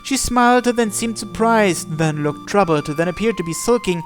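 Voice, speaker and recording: A man's voice: high voice, lively intonation, moderate pace. A man reading a visual novel aloud as story narration. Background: game music.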